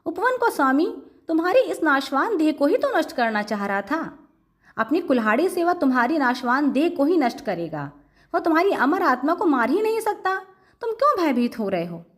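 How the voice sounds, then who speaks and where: A woman voicing a wise male sage in a story, teaching his disciple in a measured, instructive way. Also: high-pitched, sing-song. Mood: calm, instructive.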